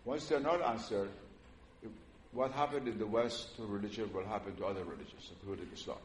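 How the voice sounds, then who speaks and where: Older man lecturing in a hall. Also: fairly low pitch. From Iran. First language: Persian. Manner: measured and earnest, frequent "uh" pauses. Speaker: religious lecturer. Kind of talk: public lecture to an audience. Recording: roomy hall with faint noise.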